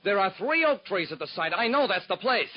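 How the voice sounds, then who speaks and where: A man's voice: sits high, very animated, a little fast. An actor playing an earnest, nervous young man asking a detective for work, in 1940s radio acting style, on a dull lo-fi old radio recording. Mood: eager. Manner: earnest, pleading. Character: eager young man job seeker, nervous. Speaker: secretary.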